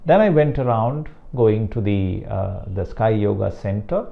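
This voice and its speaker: An older man from South India with a Tamil-accented English, a meditation teacher speaking slowly and deliberately about his guru. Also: on the low side, vocal fry, narrow, tinny audio. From Chennai, South India. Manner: slow, deliberate, emphatic on key words. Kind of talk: reflective talk to camera.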